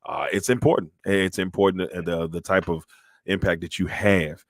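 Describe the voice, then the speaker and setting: A man's voice: low-pitched, fairly even intonation, moderate pace. Easygoing man hosting an interview. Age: 30s. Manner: easygoing, laughs often, repeats phrases. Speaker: interview show host. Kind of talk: casual interview chat.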